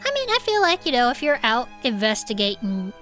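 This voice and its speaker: A woman's voice: on the high side, big pitch swings, a little fast, a bit bright, soft background music. A woman playing a chipper, easily sidetracked cryptid-club co-host who reads case reports and banters. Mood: playful, animated. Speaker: podcast co-host.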